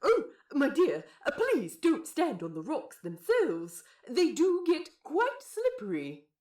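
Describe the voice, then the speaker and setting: A woman voicing a gentle, fussy male aquarium guide in an audio story, polite, proper and earnest. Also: high-pitched, huge pitch swings, slow pace, echoey room, full character voice. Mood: anxious, polite. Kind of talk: character acting for narrated audio fiction.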